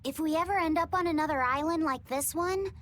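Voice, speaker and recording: An actress playing a gentle, curious little boy in a video game, speaking in an earnest, questioning way. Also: high-pitched, a little fast, slight background noise, close to an everyday voice.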